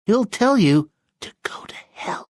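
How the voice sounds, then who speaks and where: A man's voice: high voice, big pitch swings, measured pace, a little mellow, dry recording, whispered, studio quality. A man playing a brash, scheming crone in a video game, mocking and brusque. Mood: sly, scheming. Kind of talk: theatrical video game cartoon acting.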